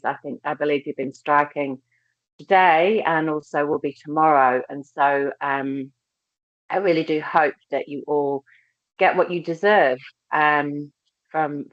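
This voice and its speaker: Low-voiced woman, slight English accent. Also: no room sound. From England. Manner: friendly, chatty, many ums and restarts. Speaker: recruitment team head, webinar host. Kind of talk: casual webinar presentation. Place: holiday house by the beach. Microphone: laptop on a video call. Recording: dry, slightly dull video-call audio.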